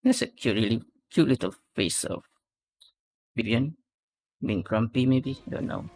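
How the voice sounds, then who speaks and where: A man's voice: mid-range voice, lively intonation, unhurried, darkish tone. A man hosting a reaction video, giving casual commentary and analysis as he thinks aloud and repeats phrases. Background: faint music.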